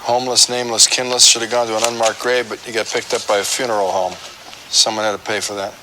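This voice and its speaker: An actor playing a terse, probing homicide detective, clipped and deadpan in a police procedural drama. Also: male voice, on the low side, fast, close to an everyday voice. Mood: musing, terse. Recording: noisy location-style background with clicks.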